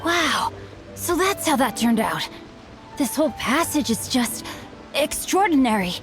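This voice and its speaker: A woman's voice: high-pitched, big pitch swings, measured pace. A woman voicing a bookish, chivalrous teenage boy swordsman in a video game, speaking in a formal, eloquent, earnest way. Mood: enthralled. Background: game music.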